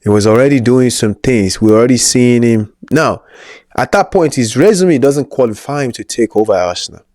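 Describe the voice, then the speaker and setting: A man's voice: mid-range voice, animated, moderate pace, a little mellow, dry recording, clean studio sound. Opinionated Nigerian-accented man. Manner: opinionated, rambling asides. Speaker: co-host. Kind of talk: casual football chat.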